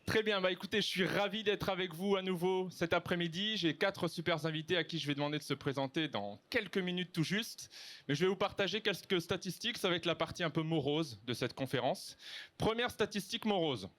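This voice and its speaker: A man's voice: high voice, fairly quick, fairly bright tone. A man moderating a live conference panel, speaking to the audience in an informal, chatty way. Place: live festival stage with audience.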